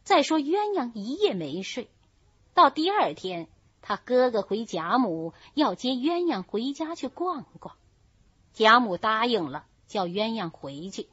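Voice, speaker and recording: A woman reading a classical novel aloud as an audiobook, with measured, expressive storytelling. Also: a little high, slightly rough, no room sound. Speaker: audiobook reader. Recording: dull lo-fi recording.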